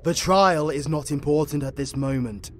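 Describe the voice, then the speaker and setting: Demon hunter on trial, woman actor: deep for a woman, lively, blunt, colloquial, with clear noise behind. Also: moderate pace, lightly acted. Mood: urgent, grave. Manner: colloquial, blunt, says ain't and mate.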